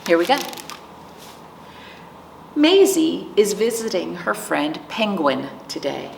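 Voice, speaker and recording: A woman reads aloud to young children in an animated, unhurried way, with some creak in her voice. She hosts a storytime, pausing to explain things simply and put questions to the listeners. The sound is roomy and a little distant from the mic. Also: fairly low pitch, slight background noise. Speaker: library storytime host reading picture books.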